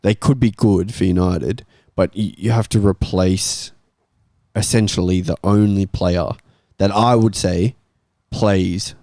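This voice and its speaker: A man's voice: low-pitched, fairly even intonation, slow pace, a little mellow, no echo, studio quality. A man hosting a casual football fan panel, speaking in an informal conversational way. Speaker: host of a football fan talk show.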